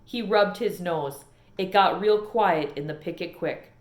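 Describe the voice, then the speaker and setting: A woman reading a children's novel aloud as an expressive storyteller, in an echoey room. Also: on the low side, a little slow. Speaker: storybook reader.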